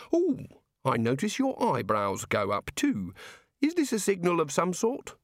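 A man's voice: on the high side, dry recording, studio quality, pitched above the performer's own voice, exaggerated voice. A man voicing a curious, apologetic male island god with a short temper, polite and flustered. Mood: inquisitive.

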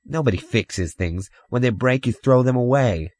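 A man's voice: medium pitch, big pitch swings, measured pace, no room sound, voice pushed up. Man playing a cocky, sneering boy. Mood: scornful. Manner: taunting, challenging.

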